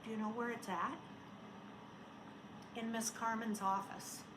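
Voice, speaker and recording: A woman, a schoolteacher, talking and reading aloud to young children in simple, expressive phrases. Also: fairly high pitch, measured pace. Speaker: elementary school teacher. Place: indoors at home. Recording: roomy home recording, faint noise.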